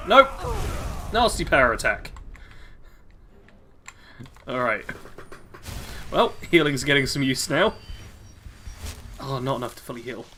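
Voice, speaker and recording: A man's voice: medium pitch, sing-song, measured pace, long pauses, sharp tone, slight background noise. A man with a British accent, streaming a video game and chatting casually with his chat, chuckling as he reads comments aloud.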